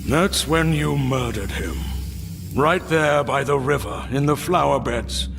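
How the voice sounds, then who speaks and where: Slow, creaky, stern fantasy adventurer. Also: male voice, on the high side. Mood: accusing, grim. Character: stern, accusing adult male adventurer in fantasy game. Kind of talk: video game cutscene acting. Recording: long reverb, clear background noise.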